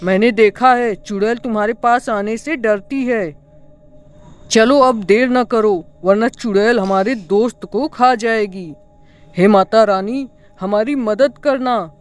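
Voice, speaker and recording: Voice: medium pitch, slow, clean tone, lightly acted. A performer voicing young village friends in a cartoon horror story, in a dramatic, exclamatory way. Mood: urgent. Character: frightened or cocky young village friends hunting treasure.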